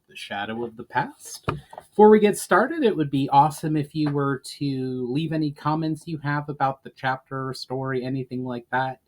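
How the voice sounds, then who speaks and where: Male author-narrator for fantasy storytelling, medium pitch, measured and formal delivery. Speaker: author reading own book. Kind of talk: dramatic audiobook-style narration of fantasy fiction.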